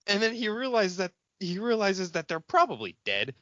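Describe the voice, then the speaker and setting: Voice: medium pitch, big pitch swings, moderate pace, sharp tone. A podcast co-host chatting casually and comically about movies, sarcastic and sweary, often acting out mocking quotes. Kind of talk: casual comedic movie discussion. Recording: muffled, roomy home recording.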